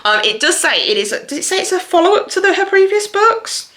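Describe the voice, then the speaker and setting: A woman book vlogger chatting casually to viewers in an effusive, expressive way. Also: extremely high pitch, brisk. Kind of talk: casual chatty video monologue about books.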